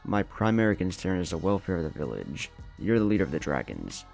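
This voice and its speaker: A man voicing a composed, authoritative female village leader, measured and matter-of-fact, in a story narration. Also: sits low, big pitch swings, vocal fry, a little mellow, soft background music, full character voice. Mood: calm, understanding.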